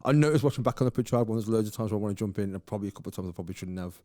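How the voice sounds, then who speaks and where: A man's voice: lowish voice, rapid-fire, dark tone, dry recording, studio quality. A man co-hosting a podcast, chatting casually, rambling through asides and laughing often. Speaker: podcast co-host. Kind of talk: casual chat.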